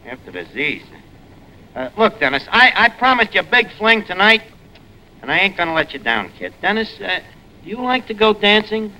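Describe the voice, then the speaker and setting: Brash, bright-voiced New York barkeep. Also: male voice, high voice, very animated, moderate pace, heavily acted. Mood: flustered. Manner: ungrammatical street talk with malapropisms. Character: wisecracking lowbrow tavern manager, brash, flustered fixer. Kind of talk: 1940s radio comedy acting. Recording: noisy old radio recording.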